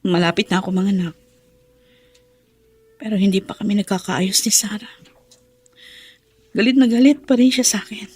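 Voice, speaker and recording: An actress playing a sorrowful, gentle pregnant mother who speaks softly and wearily in a radio drama. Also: medium pitch, moderate pace, pauses often, slightly rough, soft background music, lightly acted. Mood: weary. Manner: soft and weary.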